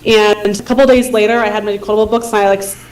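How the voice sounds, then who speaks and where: A woman's voice: mid-range voice, steady tone, quick pace, darkish tone. A woman teacher speaking casually with many fillers at a school board meeting. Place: meeting room. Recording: echoey room, far from the mic.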